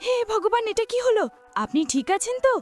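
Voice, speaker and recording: A woman's voice: very high-pitched, very animated, a little fast, a little mellow, faint music behind. A woman playing a courteous, anxious young woman in a cartoon horror story, with a dramatic manner. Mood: alarmed, apologetic. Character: concerned, apologetic, easily frightened young woman.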